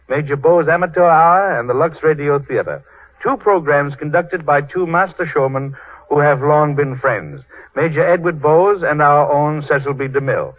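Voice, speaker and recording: A man announcing a scripted 1930s radio show in a formal, showmanlike way. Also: a little high. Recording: tinny 1930s radio broadcast recording.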